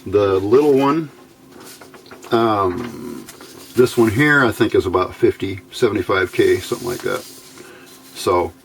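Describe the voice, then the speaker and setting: Low-pitched man explaining a hobby build. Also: lively intonation, a little slow, gravelly, long pauses, slight background noise, rough recording. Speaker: hobbyist presenter of electronics build videos. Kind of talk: informal technical explanation.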